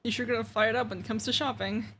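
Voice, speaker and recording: A woman's voice: on the high side, steady tone, very fast, background noise. A woman game streamer chatting casually and reading dialogue aloud, playful and slangy. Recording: game music playing behind the voice.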